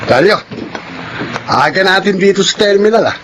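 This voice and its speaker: An actor playing a jolly but cautious sidekick of a street bully in a Filipino-accented radio drama. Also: male voice, high voice, lively intonation, normal speed, loud music behind the voice, poor audio. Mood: chummy. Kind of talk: Philippine radio drama acting.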